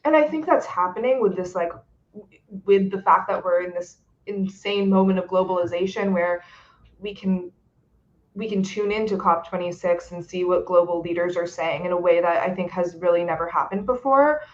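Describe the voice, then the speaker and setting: A woman's voice: on the low side, moderate pace. A young woman on a video-call webcast, speaking earnestly with frequent "like" fillers. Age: young adult. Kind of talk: webcast discussion. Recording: dull lo-fi audio.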